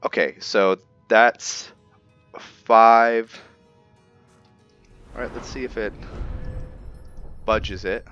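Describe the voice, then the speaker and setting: A man with a very deep voice talks slowly through his roleplay game, halting as he thinks aloud. The sound is slightly muffled, with game music behind him. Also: steady tone, fairly bright tone, clear, resonant tone, no room sound. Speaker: gaming video host. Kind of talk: casual roleplay commentary over gameplay.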